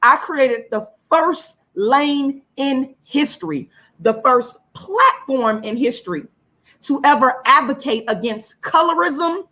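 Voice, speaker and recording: Hoarse lady activist on a show, highish voice, real emphatic, repeats stuff to make the point. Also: bright, forceful tone, rough recording. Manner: emphatic, repeats words and phrases for stress. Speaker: guest, activist. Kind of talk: impassioned monologue in an interview.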